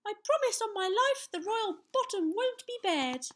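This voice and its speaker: A woman voicing a brave, eager six-year-old boy knight in a children's storybook, excitable and declaiming. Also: very high-pitched, moderate pace, voice pushed up, full character voice.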